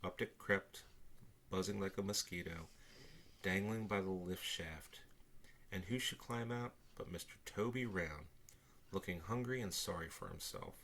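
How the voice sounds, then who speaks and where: An older man reading a children's story aloud with expressive narration. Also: low voice, unhurried, a little hiss or hum.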